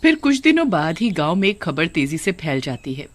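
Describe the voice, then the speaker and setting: A woman's voice: fairly low pitch, sing-song, normal speed, dry recording. A woman narrating a scripted cartoon story as its storyteller.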